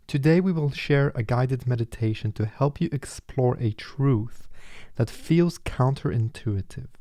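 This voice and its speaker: A man narrating a guided meditation in a calm, gentle, unhurried manner, like a host settling listeners in. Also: mid-range voice, no room sound, studio quality.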